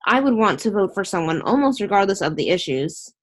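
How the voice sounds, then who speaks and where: A woman's voice: medium pitch, big pitch swings, fairly quick. A woman hosting a casual online interview, chatty and opinionated, rambling with restarts.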